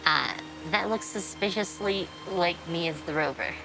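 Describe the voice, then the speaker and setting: Low-voiced woman gaming streamer over music. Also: moderate pace, vocal fry, low-quality recording. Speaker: let's play streamer. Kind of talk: casual gameplay commentary. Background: game music.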